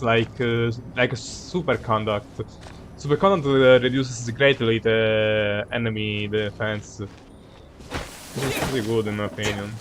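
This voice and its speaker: A man livestreaming a game with casual, rambling, halting and self-mocking commentary. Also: mid-range voice, a bit bright, slight background noise. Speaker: game streamer.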